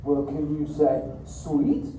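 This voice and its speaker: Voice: medium pitch, wildly swooping pitch, slow, mellow. A performer voicing a laid-back surfer dude sea turtle in a live theater show, chatting playfully with the audience. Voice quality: drawling, goofy, frequent "dude". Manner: playful, chats with audience, laughs a lot. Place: indoor theater. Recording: echoey theater recorded from far with noise.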